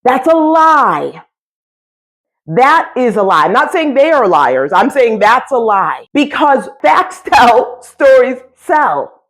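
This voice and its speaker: An American woman giving a motivational coaching talk to camera, speaking emphatically and deliberately with dramatic pauses and repetition. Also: a little high, sing-song, a bit bright. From United States. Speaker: business coach and host.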